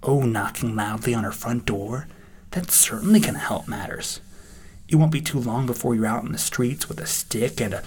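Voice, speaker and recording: A man's voice: mid-range voice, moderate pace, darkish tone, natural voice. A man voicing a cruel, taunting inner voice that scornfully berates a young dragon in an audio story. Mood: mocking, harsh.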